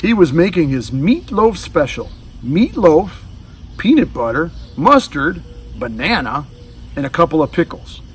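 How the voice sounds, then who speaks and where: A man's voice: a little high, very animated, unhurried, breathy edge, recorded from a distance. A man reading a children's storybook aloud, adding casual asides to young listeners. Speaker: storybook reader. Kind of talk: children's read-aloud narration.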